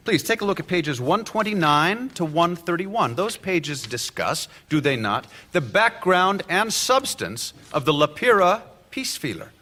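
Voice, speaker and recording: An actor playing a sharp, relentless defense lawyer cross-examining a witness in a courtroom radio drama. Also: male voice, a little high, very animated, unhurried, few pauses, heavily acted. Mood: pressing.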